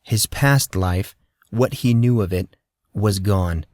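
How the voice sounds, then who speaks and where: A man narrating a story in a steady, measured way as an audiobook reader. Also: fairly low pitch, no echo, clean studio sound.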